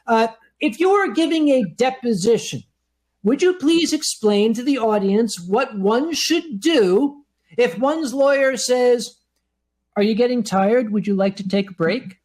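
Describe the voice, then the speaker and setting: A man on a live comedy panel telling a story in a dry, deadpan way. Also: very high voice, measured pace.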